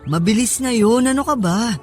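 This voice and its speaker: A sweet young husband, high voice, casual and coaxing, radio drama with music behind. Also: male voice, normal speed, a little mellow. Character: Peter, affectionate, reassuring young husband.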